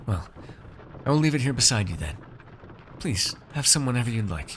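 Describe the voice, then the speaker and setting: A man playing a haughty, shrewd noble master in a fantasy ASMR roleplay, speaking in measured, formal, condescending phrases to a servant. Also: medium pitch, sing-song, fairly bright tone, slight background noise. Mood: coaxing, wry.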